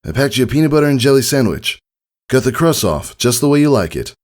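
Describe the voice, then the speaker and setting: Guy doing a doting pony dad, gently teasing, animated delivery, storybook fanfic reading. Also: mid-range voice, quick pace, voice pushed up, close to an everyday voice. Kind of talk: fan fiction audiobook character voice.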